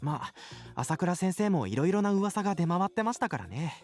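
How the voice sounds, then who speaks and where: A polite young gossip in a visual novel, rattling along very fast with a lively, swinging pitch. Game music plays faintly under him. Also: male voice. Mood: chatty.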